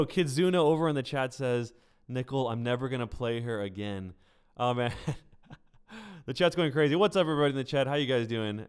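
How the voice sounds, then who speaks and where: Clear-voiced man hosting a game stream. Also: medium pitch. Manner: informal, conversational, addressing viewers directly. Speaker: game streamer and video host. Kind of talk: casual live-stream game news commentary.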